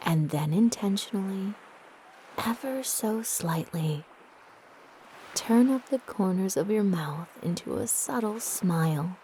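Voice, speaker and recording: A woman narrating a guided meditation in a soft, breathy, gentle and soothing manner with long pauses. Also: mid-range voice, animated, a little hiss or hum, low-quality recording.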